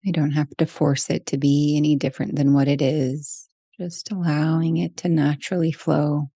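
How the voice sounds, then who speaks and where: Low, mellow woman guiding meditation. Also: flat delivery, no echo. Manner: slow, gentle pauses between short phrases. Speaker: meditation guide. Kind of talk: guided meditation.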